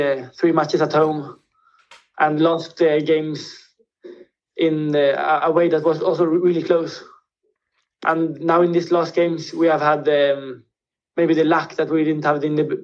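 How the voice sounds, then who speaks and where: A young man who grew up with Swedish and Spanish, answering interview questions casually as a footballer guest. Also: on the high side. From Spain, with Swedish family. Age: young adult. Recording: slightly dull, lo-fi audio.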